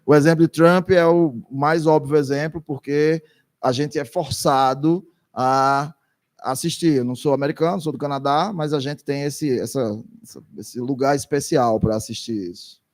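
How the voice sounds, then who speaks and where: A man works as a consecutive interpreter for a lecture, with a voice a little higher than usual for a man. He speaks hesitantly, often restarting and repeating words as he searches for phrasing. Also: moderate pace.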